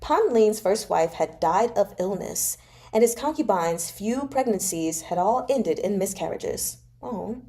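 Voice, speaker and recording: Animated woman hosting a read-along. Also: mid-range voice, unhurried. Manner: informal, slangy, reactive in asides. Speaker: host of a live read-along. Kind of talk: expressive novel narration with casual asides.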